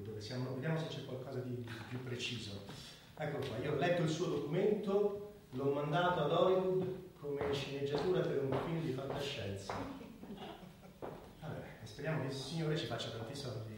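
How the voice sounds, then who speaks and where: A man in an Italian radio drama playing a proud, embattled doctor, argumentative and halting, with muttered asides. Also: medium pitch, a little mellow, close to an everyday voice. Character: embattled male doctor, indignant, proud, naively trusting idealist. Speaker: radio play actor. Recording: distant, very echoey, faint noise.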